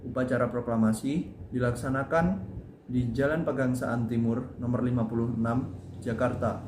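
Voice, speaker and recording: A man's voice: medium pitch, fairly even intonation, normal speed. Teen guy doing a school presentation, careful step by step, roomy echo and a bit of noise. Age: teenager. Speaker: high school student presenter. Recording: echoey room with faint noise.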